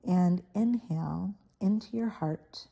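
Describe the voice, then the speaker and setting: A woman with a very mellow, relaxed voice pitched a little low leads a guided visualization. She speaks soothingly in short phrases. Pauses between them give the delivery an unhurried feel. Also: creaky, breathy edge. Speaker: guided meditation host. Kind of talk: guided meditation, soft guided visualization.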